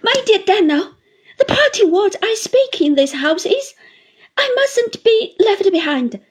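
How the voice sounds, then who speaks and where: A woman's voice: big pitch swings, extremely slow, darkish tone, exaggerated voice. A woman doing a sobbing, clinging old woman. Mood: tearful pleading. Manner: high wailing pleas, breaking into sobs. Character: clinging, tearful older woman pleading desperately.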